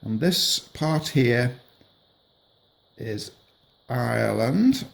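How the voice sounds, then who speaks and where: Slow, patient man teaching children. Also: mid-range voice, lively intonation, vocal fry. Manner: patient, gentle, narrates while drawing on a map. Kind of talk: slow explanatory lesson for children.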